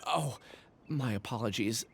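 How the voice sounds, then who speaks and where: A young man voicing a troubled, apologetic amnesiac scholar in a video game, speaking haltingly. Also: medium pitch, slight background noise, natural voice. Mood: relieved, apologetic. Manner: hesitant, halting.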